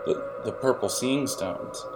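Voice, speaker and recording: A man narrating an improvised tabletop game as game master, in a halting, explanatory way with hesitations. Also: medium pitch, loud music behind the voice, poor audio.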